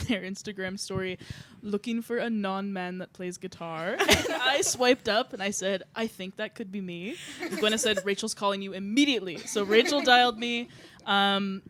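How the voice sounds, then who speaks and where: A young woman from British Columbia, lead guitarist, retelling how she joined with animated laughter. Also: medium pitch. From British Columbia, Canada. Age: young adult. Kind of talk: casual storytelling.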